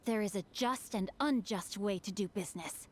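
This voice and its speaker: A woman's voice: mid-range voice, moderate pace, a little mellow, natural voice. A woman voicing an earnest, bookish young male swordsman who speaks in formal, old-fashioned phrases and quotes proverbs. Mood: calm. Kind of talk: video game character dialogue.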